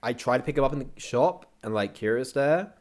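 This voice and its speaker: A young man with a medium-pitched voice. He chats casually as a guest, rambling in slangy, jokey phrases. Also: lively intonation, brisk. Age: young adult. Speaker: interview guest. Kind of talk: casual chat.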